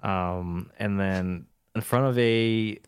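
A man co-hosting a podcast in casual chat, deadpan and rambling, with a lot of "like" and "uh". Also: on the low side, slow pace, clear, resonant tone. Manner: deadpan, rambling, lots of fillers. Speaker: podcast co-host.

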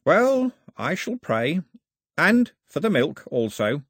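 A man performs a storybook's old toothless holy man, grandly superstitious and sly. The voice is husky and lifted high, swooping as he declaims and gloats. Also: big pitch swings, slow, no room sound, studio quality, full character voice. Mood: mournful, pious.

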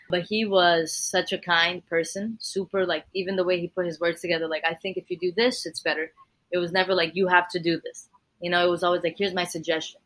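Woman, lower voice, chatty guest, animated storyteller. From Brazil. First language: Portuguese. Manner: lively storyteller, fillers like "like" and "right?". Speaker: guest singer. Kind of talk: casual interview chat.

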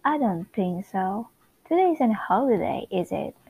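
A woman's voice: mid-range voice, big pitch swings, measured pace, warm tone, husky, no room sound, heavily acted, rough recording. A woman playing a polite, evasive young sitcom newlywed wife with a prim, earnest way of talking. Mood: unsure.

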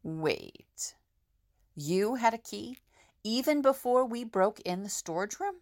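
Woman acting confident, creaky-voiced prison escapee. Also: a little high, expressive, slow, a little mellow. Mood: suspicious.

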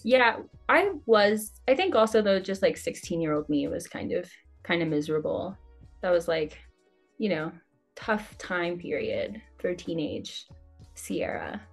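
A woman's voice: lowish voice, animated, a little slow, soft background music. A young woman from Tennessee, a guest on a casual podcast, laid-back and self-mocking, laughing often. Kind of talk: casual interview chat.

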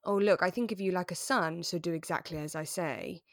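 A British woman co-hosting a podcast in a casual, animated chat, slipping into mock quotes as she talks. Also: fairly low pitch, brisk, no echo, studio quality. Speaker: podcast co-host. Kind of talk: casual chat, reviewing a radio drama.

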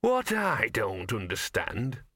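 A scruffy, streetwise talking dog out of a comic storybook, played by a male reader. He grumbles sardonically in loose slang, cynical about everything. The sound is bright and close, with a dry finish. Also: fairly low pitch, huge pitch swings, slow, breathy edge, no room sound, full character voice. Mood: scornful.

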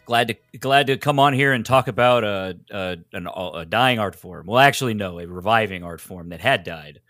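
A man with a fairly low voice, recorded dry with little room sound. He talks as an interview guest in a loose, conversational way, restarting and correcting himself as he goes. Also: normal speed, a bit bright, no echo, faint music behind. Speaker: podcast guest, writer. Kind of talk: casual interview chat.

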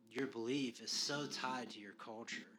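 A man's voice: mid-range voice, normal speed, crowd noise. A man hosting a podcast, talking earnestly in a loose, rambling way full of fillers. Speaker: podcast host. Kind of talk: casual conversation.

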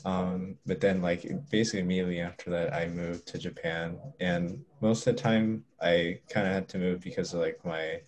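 A man's voice: low-pitched, fairly even intonation, normal speed, few pauses, darkish tone. A young man as an interview guest, talking casually and hesitantly with many fillers and restarts.

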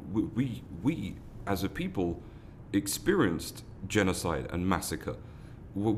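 Deep-voiced earnest man. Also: a little hiss or hum. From London, England. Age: 30s. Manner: earnest, many restarts and repeated words. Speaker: foundation founder, guest. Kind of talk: earnest interview answers.

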